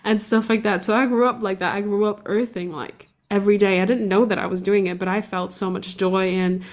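A woman's voice: medium pitch, steady tone, brisk. A young woman from Portugal chatting casually, rambling with lots of fillers and restarts. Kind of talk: casual conversation.

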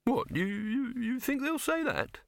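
A man's voice: on the high side, huge pitch swings, takes it slowly, pushed and bright, no room sound, studio quality, voice pushed up, full character voice. A man voicing an anxious, wavering male businessman with a hesitant manner, in an audiobook. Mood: wavering, insistent. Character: anxious, cautious businessman, wavering and easily flattered.

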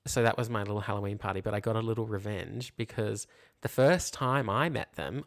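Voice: mid-range voice, gravelly. A podcast co-host telling casual, comic anecdotes and acting out the quoted dialogue as they go. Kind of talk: casual comic storytelling chat.

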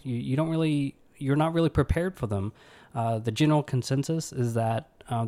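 Man, Alabama accent, podcast host, earnest, rambling, lots of fillers, mid-pitched voice. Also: a little mellow. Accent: Alabama Southern. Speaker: podcast host, pathology resident. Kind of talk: casual solo podcast monologue.

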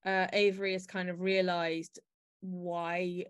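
Low-pitched woman hosting a conversational discussion. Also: flat delivery, slow, clear, resonant tone. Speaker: podcast host.

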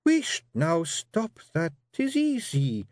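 A man reading an audiobook, voicing a sharp, clever female pixie clan matriarch with a coaxing, brisk manner. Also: on the high side, wildly swooping pitch, no room sound, clean studio sound, voice pushed up, full character voice. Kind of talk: audiobook character voice.

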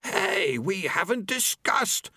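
A man's voice: sits high, sing-song, takes it slowly, pushed and bright, no echo, studio quality, pitched above the performer's own voice, heavily acted. A man voicing a nagging, petulant female horseman of the apocalypse in a fantasy audiobook. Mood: objecting.

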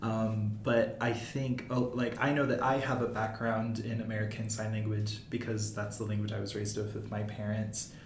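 A man's voice: lowish voice, little pitch movement, moderate pace, hardly any pauses. Casual young man, echoey room. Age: young adult. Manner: friendly, many fillers. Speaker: podcast host. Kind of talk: casual student podcast chat. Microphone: wearing headphones at a mic. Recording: echoey room, faint noise.

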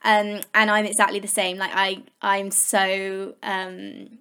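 A young woman hosting a relaxed interview chat. She talks in a chatty, easygoing way, repeating words and leaning on "like". Her pitch sits in the middle of the range. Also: takes it slowly, smooth. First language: Portuguese. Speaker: podcast host.

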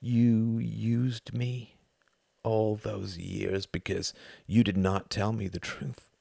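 A man's voice: on the low side, level tone, slow, creaky, breathy edge, dry recording, clean studio sound, exaggerated voice. A man voicing a raging, desperate young son. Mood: bitter accusing. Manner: shouting, raging, pleading. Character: furious desperate young man confronting his mother. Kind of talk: audiobook character voice.

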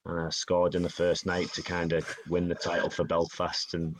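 A man, telling stories from his career in a relaxed interview, often acting out what others said to him. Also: low voice, normal speed, poor audio. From Northeast England. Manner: chatty, self-deprecating, quotes others in dialogue. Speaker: guest, hockey player. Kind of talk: casual interview storytelling.

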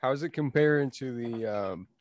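Casting: young male podcast host, mid-pitched, laid-back, dry close-mic sound. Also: no room sound, muffled recording. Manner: easygoing, halting with fillers and restarts. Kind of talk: casual sports podcast chat.

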